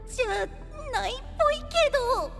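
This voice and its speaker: A man playing a small, childlike boy, excitable and blunt, exclaiming casually in an anime-style audio drama. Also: extremely high pitch, a little fast, creaky, faint music behind, full character voice. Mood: startled.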